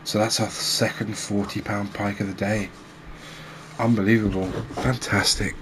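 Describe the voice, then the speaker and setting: A man with a deep voice and a flat, level delivery talks through a game in a cozy ASMR style. He is soft-spoken and unhurried, thinking out loud as he goes. A faint wash of background noise sits behind him. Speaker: game streamer, host. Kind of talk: relaxed ASMR game commentary.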